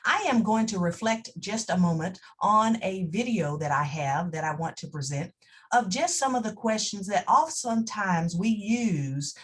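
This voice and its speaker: A woman in her fifties hosting a training session, speaking earnestly and deliberately to club members. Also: medium pitch. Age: 50s. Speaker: host of a training assembly.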